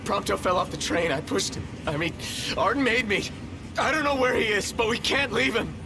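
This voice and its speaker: An actor playing a hot-headed, anguished young prince in a video game. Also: male voice, high-pitched, a little fast, background noise. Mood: panicked. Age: young adult. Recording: game music behind the voice.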